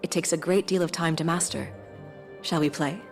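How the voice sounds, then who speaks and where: A calm, formal debater. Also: female voice, sits low, soft background music. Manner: measured, formal, polite.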